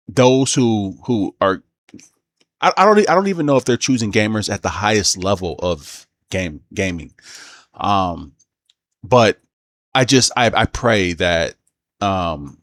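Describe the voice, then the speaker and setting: A man with a low voice talks through his opinions in a casual commentary style. His delivery is halting and unscripted, and he often backs up to correct his own wording. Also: fairly bright tone.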